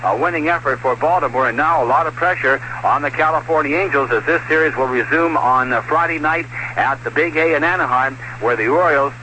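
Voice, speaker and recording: Bright, forceful male sportscaster, slightly noisy radio. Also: a little high, a little fast, few pauses. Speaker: baseball radio broadcaster. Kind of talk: unscripted radio game recap and analysis. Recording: hissy 1970s radio broadcast.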